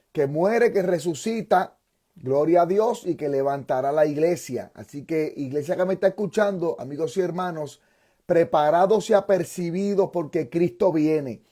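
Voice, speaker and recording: A pastor hosting an interview, a man with a mellow, somewhat high voice. He speaks fervently and keeps returning to phrases of praise. His delivery moves between preaching and friendly questions.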